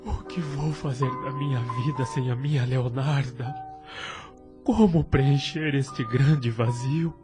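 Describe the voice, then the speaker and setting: A man's voice: medium pitch, steady tone, takes it slowly, music in the background, lightly acted. A man playing a devoted, grieving widower in an audio drama, earnest and emotional in his delivery. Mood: grief, shock. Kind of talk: melodramatic audio drama acting.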